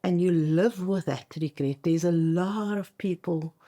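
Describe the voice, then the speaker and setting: A woman's voice: on the low side, a little slow, mellow. A middle-aged South African woman whose first language is Afrikaans, talking conversationally as a coach being interviewed on a podcast, making emphatic points and checking in as she goes.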